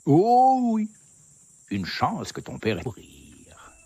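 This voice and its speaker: A man voicing a scheming lion villain in an animated film, pompous, sardonic and contemptuous. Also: fairly high pitch, slow, husky, exaggerated voice. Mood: sly, condescending. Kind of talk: theatrical animated film dubbing. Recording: loud music behind the voice.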